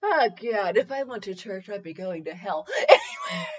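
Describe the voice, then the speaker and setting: A woman hosting a podcast with casual, humorous review chat, rambling and halting as she goes, often giggly. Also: mid-range voice, huge pitch swings, husky, lo-fi sound. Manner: giggly, frequently breaking into laughter; rambling, halting self-interrupted delivery. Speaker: podcast host.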